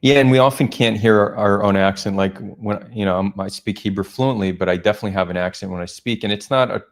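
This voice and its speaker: A man's voice: on the low side, fast talker. A man hosting a casual livestream chat, talking loosely with lots of fillers and restarts. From United States. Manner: rambling, many fillers and restarts. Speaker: livestream host.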